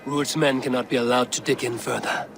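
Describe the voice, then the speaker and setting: A man's voice: mid-range voice, little pitch movement, vocal fry, background noise, natural voice. A man playing a stern Viking warrior leader. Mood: resolute. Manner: firm, measured, commanding. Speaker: game protagonist. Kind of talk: video game cutscene acting. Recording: game audio under a music score.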